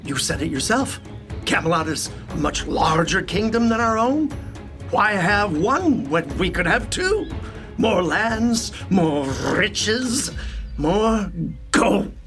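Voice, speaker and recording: A man's voice: high-pitched, animated, takes it slowly, over music. An actor playing a greedy, ambitious king and father with formal, theatrical speech. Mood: grandiose, scheming.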